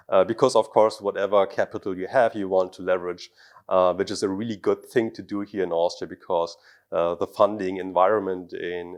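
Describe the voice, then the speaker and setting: A man in his thirties from Germany answers interview questions to camera as a startup founder, feeling his way through sentences with repeated words. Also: sits low. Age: 30s. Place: office meeting room indoors. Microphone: lapel mic.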